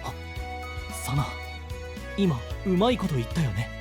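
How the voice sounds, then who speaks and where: A man voicing a gentle, perceptive young male knight in an anime voice drama, calm and encouraging. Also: fairly high pitch, unhurried. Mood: excited. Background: music.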